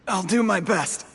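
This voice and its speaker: Timid young man for a game, high, bright strained voice, slow and apologetic. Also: exaggerated voice. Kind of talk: video game voice acting.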